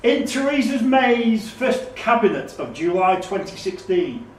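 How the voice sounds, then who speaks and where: A man with a high-pitched voice reads out quiz questions as the quizmaster. He is some way from the mic in an echoey room, with faint noise behind him. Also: sing-song, measured pace, recorded from a distance. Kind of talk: reading quiz questions aloud.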